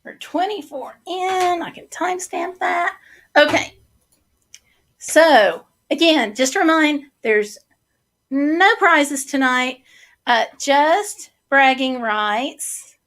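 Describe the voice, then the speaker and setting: Woman, livestream host, unhurried talk, somewhat high pitch, voice getting hoarse. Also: a bit bright. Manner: slow, chatty, many fillers. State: losing her voice, throat clearing. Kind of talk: casual livestream chat reading viewer comments.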